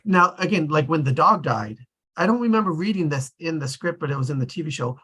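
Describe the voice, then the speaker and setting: A man's voice: fairly high pitch, animated, fast talker, fairly bright tone, husky. A man hosting a casual group discussion in a tentative, rambling way. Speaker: discussion host.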